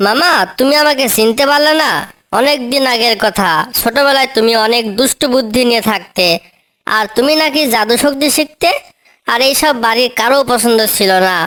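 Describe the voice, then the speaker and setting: A woman's voice: on the high side, fairly quick, fairly bright tone, natural voice. A woman voicing a small, clever female bird in a children's cartoon, with a coaxing, pleading manner. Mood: pleading, hurt. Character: small clever female tailorbird, helpful, wheedling niece. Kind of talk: children's cartoon story dialogue.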